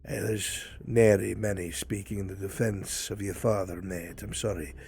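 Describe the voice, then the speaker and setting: Low, bright-voiced furtive male informant played by a man. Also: little pitch movement, moderate pace, vocal fry, a little hiss or hum. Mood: hushed, wary. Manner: conspiratorial, uses old-fashioned rustic words. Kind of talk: improvised fantasy roleplay dialogue. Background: background music.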